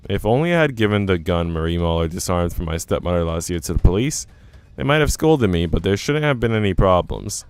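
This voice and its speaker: A man's voice: low voice, lively intonation, fairly quick, clear, resonant tone. A man reading a visual novel aloud as a let's player, acting out narration and dialogue and dropping in his own asides. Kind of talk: expressive read-aloud of story narration and dialogue.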